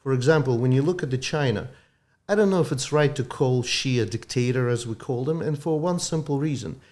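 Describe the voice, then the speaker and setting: A man from Ukraine whose first language is Russian, a doctor guest explaining things earnestly in slightly halting English. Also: medium pitch, darkish tone. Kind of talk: interview conversation.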